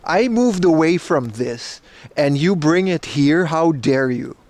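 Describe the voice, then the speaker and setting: A man's voice: fairly high pitch, animated, slow, a little mellow. Chatty man streaming outdoors. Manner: chatty, reads chat aloud, mock outrage. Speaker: IRL streamer. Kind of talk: casual livestream chat while walking. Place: outdoors on city streets.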